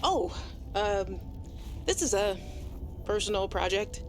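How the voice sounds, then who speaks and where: A woman playing an earnest, anxious but quietly defiant scientist in a video game audio log, hesitant and confiding. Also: mid-range voice, expressive, slow, soft background music. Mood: shy, awkward.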